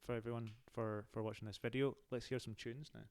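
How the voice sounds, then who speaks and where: A man with a Canadian accent co-hosting a podcast, enthusiastic and quick to praise his guests. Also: medium pitch, little pitch movement, a little mellow, clean tone. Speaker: podcast co-host. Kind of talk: casual chat.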